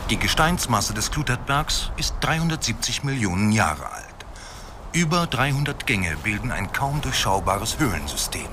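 A man's voice: medium pitch, very animated, normal speed, slight background noise. A man giving factual, documentary-style scripted narration within a radio drama. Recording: loud music under voice.

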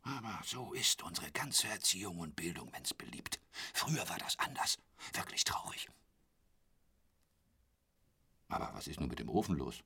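An actor in a radio drama playing a talkative, intrusive, lecherous hotel roommate who boasts and pities himself in a pestering way. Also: male voice, low voice, very animated, fairly quick, few pauses, bright, forceful tone, heavily acted. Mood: grumbling. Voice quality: husky, dropping into whispers. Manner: garrulous, pestering, repeats pet phrases.

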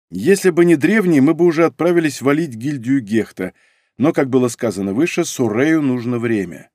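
A man reading a first-person audiobook in a measured, wry way. His voice sits in the middle of the range. The recording is dry and close, with no room echo. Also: medium pitch, a little mellow. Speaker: narrator. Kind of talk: audiobook narration.

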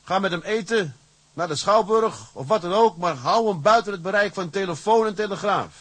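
A shrewd, plain-spoken tugboat captain in a radio play. His voice is husky and fairly high for a man, recorded close and dry. He talks bluntly, with wry defiance and command. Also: animated, normal speed, fairly bright tone, no echo, heavily acted. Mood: urgent commanding. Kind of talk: Dutch radio drama acting.

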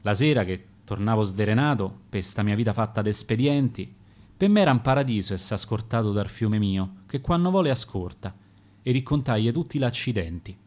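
A man's voice: lowish voice, expressive, brisk, darkish tone, pitched below the performer's own voice, natural voice. A man as a wistful dead boatman, Roman accent. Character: poor dead man who lived on a river boat.

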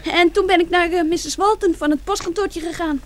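A woman's voice: extremely high pitch, a little fast. A woman acting in a Dutch radio drama as a brave, frank nine-year-old girl, childlike and artless. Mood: earnest. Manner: childlike, artless, matter-of-fact.

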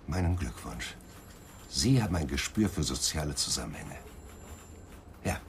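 Gravelly, defensive psychoanalyst suspect. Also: male voice, a bass voice, sing-song, normal speed, a little hiss or hum, full character voice. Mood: sardonic, self-important. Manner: testy, self-important, sardonic. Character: defensive, vain older male psychoanalyst under interrogation. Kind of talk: German radio crime drama acting.

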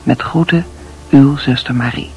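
A woman's voice: a bass voice, unhurried, mellow, highs cut off. An actress playing a modest, politely reserved female hospital nurse in a radio play, speaking simply and matter-of-factly. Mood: reserved, friendly. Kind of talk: Dutch radio play acting.

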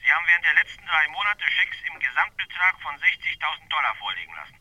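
An actor playing a courteous, formal bank manager in a German radio crime drama, speaking through a telephone. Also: male voice, fairly high pitch, very animated, fast, pushed and bright, dull audio, heavily acted. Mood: matter-of-fact. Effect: telephone filter.

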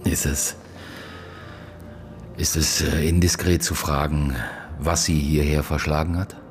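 A deep-voiced male detective in a radio play, brooding and terse. He probes with short questions and halting phrases, sounding weary, with faint music behind him. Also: flat delivery. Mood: probing, dry. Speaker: actor in a radio drama. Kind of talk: naturalistic German radio drama dialogue.

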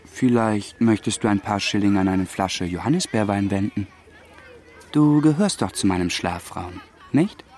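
A man's voice: fairly low pitch, measured pace, darkish tone, some chatter behind, lightly acted. A young male actor playing a cocky, domineering older schoolboy in a radio drama, haughty, self-assured and patronising. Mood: smooth, patronising.

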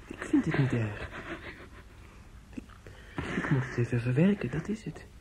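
An actor playing an earnest, devoted young student in love in a Dutch radio play, speaking gently and haltingly. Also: male voice, medium pitch, animated, natural voice. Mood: shaken, soothing. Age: young adult. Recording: old radio recording with faint noise.

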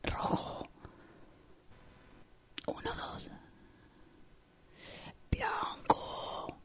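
Woman, breathy whisper, extremely slow isolated words, acting drill. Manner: hushed, whispering delivery. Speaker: radio drama workshop student. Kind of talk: acting exercise, reciting colours and numbers.

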